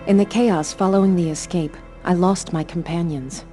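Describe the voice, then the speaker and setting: An actress playing a resolute, dutiful keeper in a fantasy video game, with formal, earnest and dramatic delivery. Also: female voice, lowish voice, steady tone, normal speed, warm tone, faint music behind, natural voice. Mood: grave, reflective. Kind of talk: scripted game narration and dialogue.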